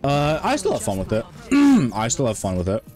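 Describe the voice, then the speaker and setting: A man in his twenties streaming a game, talking in a rambling, monotone way with many restarts. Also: mid-range voice, normal speed, faint music behind. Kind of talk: casual stream chat.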